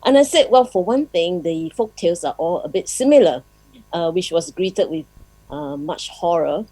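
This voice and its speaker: A woman with a Malaysian accent giving an informal speech as a publisher, conversational and pausing as she goes. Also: fairly low pitch, animated, mellow, no echo, poor audio. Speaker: publisher and guest speaker.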